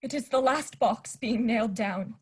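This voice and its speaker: A woman's voice: on the high side, normal speed, breathy edge. A young woman playing a frightened young male traveler who reads out his diary in a student radio play. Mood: fearful. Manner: earnest diary narration, rising to panic.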